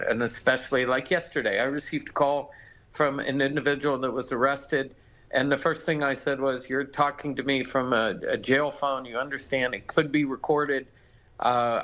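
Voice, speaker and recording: A man's voice: mid-range voice, fairly bright tone, rough recording. A man with an American accent, a lawyer explaining things conversationally as a legal expert in a live interview.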